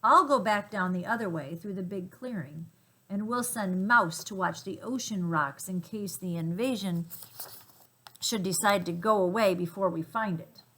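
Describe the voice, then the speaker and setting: A woman's voice: medium pitch, normal speed, a bit bright, lightly acted. A woman doing a nosy wild boar. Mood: bossy.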